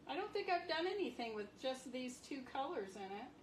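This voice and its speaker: A woman's voice: sits high, big pitch swings, fairly quick. Chatty sniffly woman in an echoey room. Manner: chatty, exclaims softly over the colors. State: sniffly from a cold. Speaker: marbling artist streaming live. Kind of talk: chatty live craft demo. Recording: echoey room with faint background noise.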